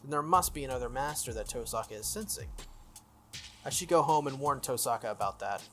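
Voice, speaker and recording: A video game player reading text aloud and commenting casually, with a slightly halting delivery and stumbles. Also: mid-range voice, lively intonation, a bit bright. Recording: music behind the voice. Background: background game music.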